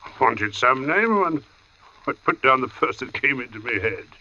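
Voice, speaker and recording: An actor playing a plain-spoken, superstitious tombstone carver who talks haltingly in a vintage radio drama. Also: male voice, medium pitch, animated, pushed and bright, heavily acted. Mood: puzzled, uneasy. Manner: halting, muttering, slow. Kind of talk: 1940s radio drama acting. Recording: dull old radio recording with music.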